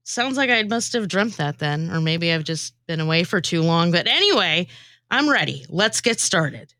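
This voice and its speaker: Woman doing comedy podcast stuff, theatrical storyteller, really swoopy pitch, dry studio sound. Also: moderate pace, dry recording. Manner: theatrical, animated delivery. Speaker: podcast former co-host and guest performer. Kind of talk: comic verse storytelling and casual podcast chat.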